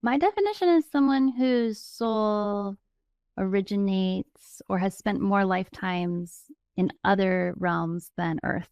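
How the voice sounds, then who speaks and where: A woman's voice: medium pitch, slow, a little mellow, clear, resonant tone, no echo, clean studio sound. A woman of Italian heritage hosting a podcast, asking curious, prompting questions in a casual chat. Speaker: podcast host.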